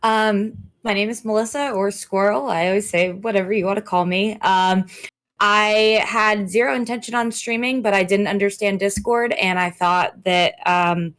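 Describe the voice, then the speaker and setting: A woman whose voice is hoarse from screaming, a streamer chatting openly as an interview guest and laughing at herself. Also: mid-range voice, moderate pace, fairly bright tone. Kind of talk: casual interview chat.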